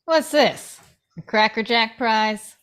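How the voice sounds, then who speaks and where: A woman acts a questioning character in an adventure film script. Her voice sits a little high, and she reads it as part of a table read. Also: takes it slowly, a bit bright, clean tone. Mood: scornful questioning.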